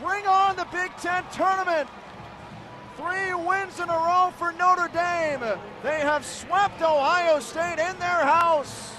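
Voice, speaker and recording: A man calling ice hockey play-by-play live on radio, excitable and building to shouted calls. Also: extremely high pitch. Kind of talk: live radio sports commentary. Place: broadcast position at hockey games. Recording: live arena broadcast, clearly noisy background.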